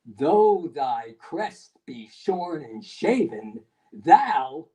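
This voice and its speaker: A woman playing a haunted, anxious narrator of a gothic poem, halting and theatrically emphatic in a staged reading. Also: sits low, very animated, dark tone, slightly rough, voice pushed down, full character voice. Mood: mock-grand questioning.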